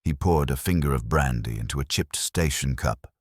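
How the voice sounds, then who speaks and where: A man narrating a scripted mystery story in a measured, literary manner. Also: rumbling low, husky, no room sound, clean studio sound.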